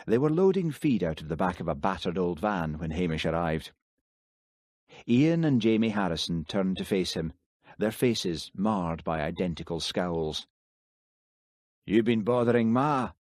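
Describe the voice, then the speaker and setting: A man reading an audiobook with steady, even narration. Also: sits low, normal speed, no room sound, studio quality. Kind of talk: scripted narration.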